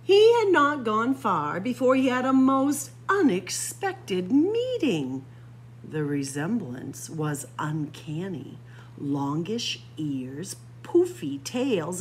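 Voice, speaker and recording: A woman reading a children's picture book aloud expressively, with dramatic pauses and drawn-out emphasis. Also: mid-range voice, breathy edge. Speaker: library story-time reader. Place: library room with bookshelves.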